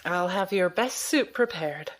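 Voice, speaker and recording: A woman's voice: fairly low pitch, animated, unhurried. An actress playing the devoted, fussing middle-aged mother of a grown naval officer in an audio drama. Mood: solicitous, hurt. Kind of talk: science fiction audio drama acting.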